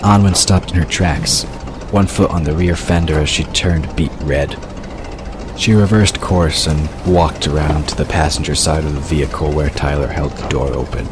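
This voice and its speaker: A man reading scripted fiction as the narrator of an audio drama. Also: rumbling low. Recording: clear ambient noise under voice.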